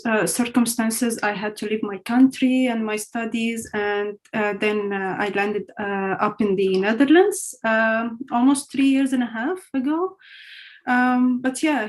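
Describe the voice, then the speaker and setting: Young Syrian-born woman, chatty English. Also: fairly high pitch. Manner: chatty, non-native phrasing, many fillers. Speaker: guest, front-end engineer. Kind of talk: casual interview answers.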